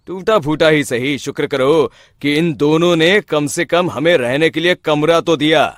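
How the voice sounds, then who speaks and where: Man as an exasperated elderly husband, very expressive. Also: medium pitch, no room sound, clean studio sound, close to an everyday voice. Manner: exasperated lecturing. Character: reproachful, resigned elderly husband.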